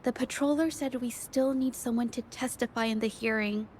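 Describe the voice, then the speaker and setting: A woman's voice: fairly high pitch, steady tone, moderate pace, darkish tone, a little hiss or hum, close to an everyday voice. A shy, stammering young painter, voiced by a woman. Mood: earnest. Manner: stammering, hesitant. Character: shy young female painter, nervous but earnest. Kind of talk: game voice acting. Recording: faint music underneath.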